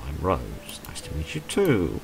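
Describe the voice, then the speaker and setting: A man's voice: a bass voice, wildly swooping pitch, measured pace, creaky, pitched below the performer's own voice, heavily acted. A man voicing a polite, helpful and mildly hesitant homebody woman painter in a visual novel.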